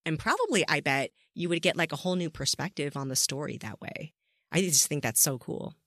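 A woman's voice: low voice, animated, a little fast, a bit bright, dry recording, studio quality. Enthusiastic woman hosting a chatty interview. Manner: enthusiastic, effusive. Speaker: podcast host interviewing a guest.

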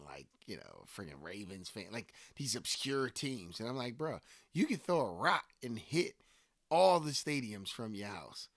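A man's voice: on the low side, no echo. A man with a Philadelphia area accent, co-hosting a casual book-club chat and telling stories with swearing and lots of fillers. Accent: Philadelphia (Delco). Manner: animated storytelling, swears casually, many fillers. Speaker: podcast co-host.

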